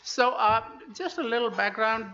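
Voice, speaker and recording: A man's voice: extremely high pitch, moderate pace, pushed and bright. A man, an international relations professor giving a book talk, telling anecdotes with frequent "uh" fillers. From India. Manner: hesitant with many "uh" fillers, anecdotal. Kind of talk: lecture-style book talk. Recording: dull lo-fi recording.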